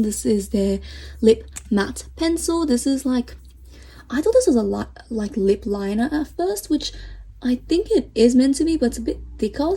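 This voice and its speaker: A woman vlogger chatting casually through a makeup review, enthusiastic and full of fillers, her voice getting hoarse. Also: fairly high pitch, mellow, a little hiss or hum. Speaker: beauty vlogger.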